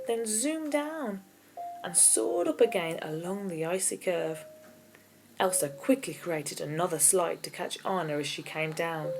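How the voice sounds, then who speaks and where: A woman's voice: lowish voice, poor audio. A woman narrating a children's novel aloud in a storybook reading style, as an audiobook reader. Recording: keyboard music behind the voice. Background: keyboard music.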